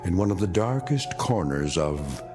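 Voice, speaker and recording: An ominous storyteller introducing an eerie tale. His low voice moves expressively through deliberate, portentous phrases, with faint music underneath. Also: big pitch swings. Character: ominous, measured male narrator of eerie mystery tale. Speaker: narrator. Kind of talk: scripted dramatic narration.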